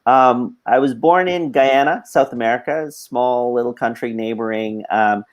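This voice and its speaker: A man's voice: mid-range voice, moderate pace. A man with a Canadian accent, a guest on an interview, telling his story in a casual, conversational way. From Toronto, Canada.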